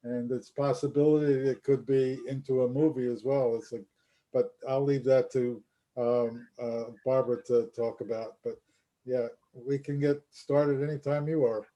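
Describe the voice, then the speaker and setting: A man with a very dark, mellow voice speaks flatly over a video call, pausing often with fillers. He sounds like a co-host opening the session. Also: mid-range voice. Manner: hesitant, many fillers. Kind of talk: casual video call chat.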